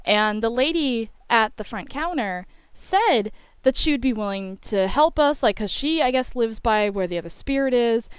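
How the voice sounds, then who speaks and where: A woman's voice: fairly high pitch, expressive, moderate pace, smooth, no room sound. A young woman telling a story in a casual, chatty voice-over, peppered with filler phrases like "you know". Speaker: YouTube storyteller.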